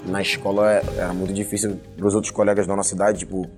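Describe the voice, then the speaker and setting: Fast, low-voiced young Carioca man. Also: little pitch movement, music in the background. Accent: Carioca Brazilian. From Rio de Janeiro, of Angolan family. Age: young adult. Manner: colloquial, slangy. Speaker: hip hop musician interviewee. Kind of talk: casual personal storytelling in an interview.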